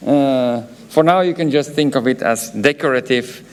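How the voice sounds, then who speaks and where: A man's voice: mid-range voice, normal speed, smooth. A man from Belgium with a Flemish accent, a professor giving a graduation ceremony speech, hesitant and full of filler sounds, with chatty asides. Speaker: professor presenting diplomas.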